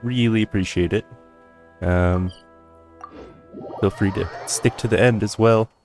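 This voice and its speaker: A man's voice: lowish voice, steady tone, measured pace, a little mellow, faint music behind. A man giving casual, laid-back let's-play commentary as a video game streamer.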